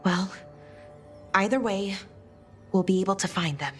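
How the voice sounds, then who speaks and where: Woman as slow-spoken, anxious young scholar. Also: medium pitch, soft background music, lightly acted. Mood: anxious, hopeful. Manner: hesitant, trailing afterthoughts. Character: earnest, anxious, hopeful young female artifact scholar. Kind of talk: video game voice acting.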